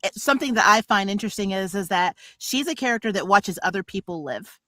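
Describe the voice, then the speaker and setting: A woman's voice: mid-range voice, expressive, fast talker, bright, forceful tone, no echo. Chatty woman voice actor on a livestream. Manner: chatty, hesitant, laughs a lot. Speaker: voice actor in the cast. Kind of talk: casual livestream chat.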